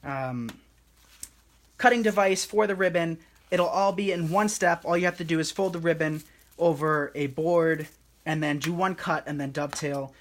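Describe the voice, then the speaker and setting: Soothing, relaxed man teaching a craft. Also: fairly high pitch, normal speed. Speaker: craft demonstrator hosting a live stream. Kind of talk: casual live craft tutorial.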